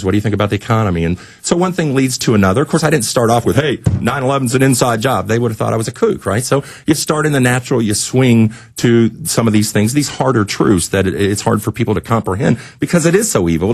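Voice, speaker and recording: Male talk radio guest, rapid and preachy, emphatic rhetorical delivery, close dry studio sound. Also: mid-range voice, no room sound. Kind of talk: impassioned talk radio rant.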